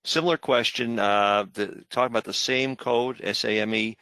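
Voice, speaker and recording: A man's voice: medium pitch, fairly even intonation, normal speed, sharp tone, clean tone, no echo. A man hosting a webinar, casually moderating and reading out questions from the audience.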